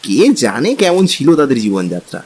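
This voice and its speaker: An actor playing an easygoing young husband, practical and curious, in a conversational, questioning style for an audio drama. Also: male voice, mid-range voice, huge pitch swings, moderate pace, darkish tone, breathy edge. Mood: casual, curious. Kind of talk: dramatized Bengali audio story. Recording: music and rain behind.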